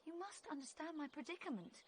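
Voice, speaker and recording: Prim plucky young british lady, old radio play, high lively voice, slight noise. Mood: firm. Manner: brisk, prim, matter-of-fact. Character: plucky, prim, forthright young country woman. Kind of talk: vintage BBC radio drama acting. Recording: noisy vintage radio play recording.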